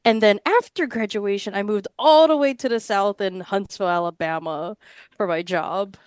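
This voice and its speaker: A woman's voice: mid-range voice, normal speed, clear, resonant tone, dry recording, studio quality. A woman in her twenties from Hawaii, chatting casually as a podcast guest and rambling freely with many fillers. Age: 20s. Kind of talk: casual interview answers.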